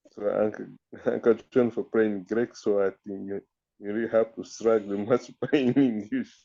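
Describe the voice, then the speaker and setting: Lowish mellow guy on a dull lo-fi call, short halting phrases. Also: fairly even intonation, vocal fry. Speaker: prayer group member. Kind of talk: casual group video call.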